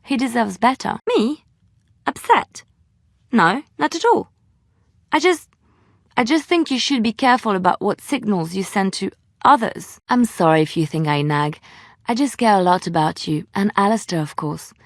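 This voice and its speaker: A woman's voice: mid-range voice, expressive, normal speed, dry recording, clean studio sound. A woman playing an earnest, tender-hearted young adventurer in a fantasy video game, speaking hesitantly and sincerely. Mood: concerned, defensive. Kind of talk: dramatic video game dialogue.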